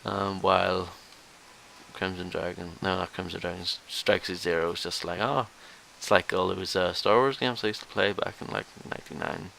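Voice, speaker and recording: A man's voice: low voice, steady tone, moderate pace, creaky, bright, forceful tone, rough recording. A man who hosts a podcast, chatting casually about video games and debating in a hesitant, defensive way. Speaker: podcast host. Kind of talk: casual video game review chat. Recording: hissy background noise.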